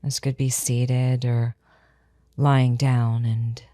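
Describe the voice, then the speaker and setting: Very deep-voiced, slow woman guiding meditation. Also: little pitch movement, no echo. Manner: soothing, unhurried, gentle instruction. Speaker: meditation guide. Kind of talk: guided meditation.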